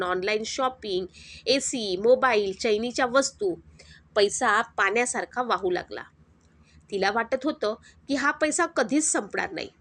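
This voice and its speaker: Woman, storyteller, scripted narration, steady dramatic delivery, higher voice.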